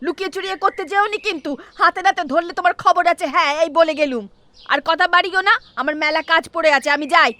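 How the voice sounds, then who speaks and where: A woman's voice: very high-pitched, quick pace, pushed and bright. A woman voicing a stern, secretive elderly grandmother in a cartoon, with a West Bengali accent and an emphatic, insistent delivery. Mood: cross, scolding. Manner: emphatic, insistent, repeats phrases. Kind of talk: dramatic cartoon voice acting.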